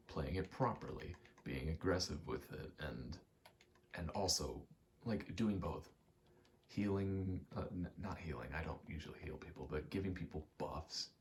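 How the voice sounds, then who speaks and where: A man giving a rambling ASMR gaming commentary, halting and meandering as he thinks aloud while playing. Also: deep voice, slight background noise. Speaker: gaming video creator.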